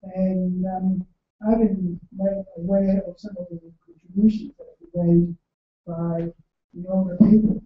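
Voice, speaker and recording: A man's voice: high voice, little pitch movement. Man from the audience, hesitant and rambling, phone-thin sound, heavy room echo, far from the mic. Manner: halting, many ums and you-knows. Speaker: audience member. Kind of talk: hesitant spontaneous comment. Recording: tinny, very echoey and far off.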